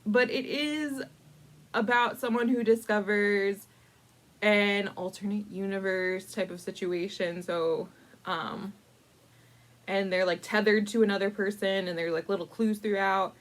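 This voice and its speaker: A woman's voice: medium pitch, steady tone. Playful woman telling a picture-book story. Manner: playful, dramatic emphasis with drawn-out words; chatty, informal asides. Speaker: librarian storytime host.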